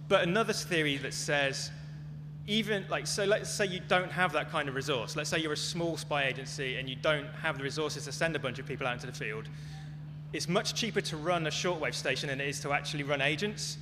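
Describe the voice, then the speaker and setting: A man's voice: a little high, fairly quick. A man giving an informal, hedged explanation as a speaker answering audience questions at a live talk. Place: large echoey venue at a live talk. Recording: echoey hall, clear background noise, hum.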